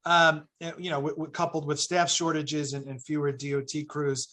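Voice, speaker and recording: A man's voice: on the high side, flat delivery, normal speed, bright, forceful tone. A man serving as a council member, asking questions at a hearing in a casual, friendly way and reading prepared questions.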